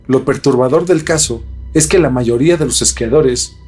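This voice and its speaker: A man hosts a podcast, reading scripted mystery narration with dramatic, deliberate phrasing. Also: medium pitch, a little mellow, breathy edge, soft background music. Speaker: podcast host.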